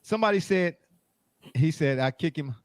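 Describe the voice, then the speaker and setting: A man with a slightly raised pitch hosts a loose sports talk show. He reads out listener takes, keeps repeating himself, and begs his co-hosts to let him finish. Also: animated, moderate pace, slight background noise. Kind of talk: casual sports debate.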